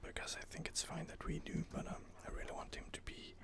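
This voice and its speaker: A man softly whispering ASMR commentary on a fantasy game he is playing, rambling and hesitant, close to the microphone. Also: fast talker. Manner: soft-spoken, rambling, hesitant. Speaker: gaming channel host.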